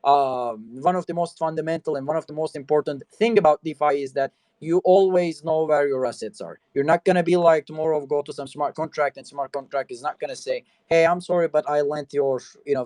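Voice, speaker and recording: A man with a fairly high voice hosts a casual talk show conversation. His sound is dry and close. He keeps dropping you know into his sentences. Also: darkish tone, no echo. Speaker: host.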